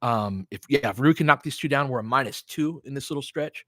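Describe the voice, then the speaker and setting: A man's voice: mid-range voice, a little fast, a bit bright, no echo, studio quality. An American man calling basketball play-by-play in an informal, conversational, opinionated way, mixed with casual fan chat. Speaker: play-by-play host of a fan stream.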